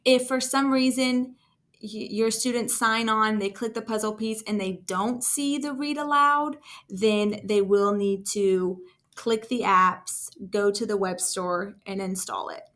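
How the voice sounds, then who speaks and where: A woman talking through a casual screen-share software tutorial as a trainer, in an informal, enthusiastic and encouraging way. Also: medium pitch, steady tone, measured pace. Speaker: school district technology trainer.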